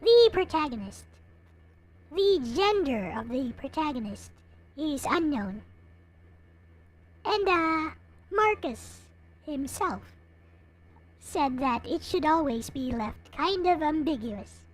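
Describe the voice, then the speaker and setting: High, lilting woman streamer, unhurried. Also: very animated. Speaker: live streamer, host. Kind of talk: casual livestream chat to viewers.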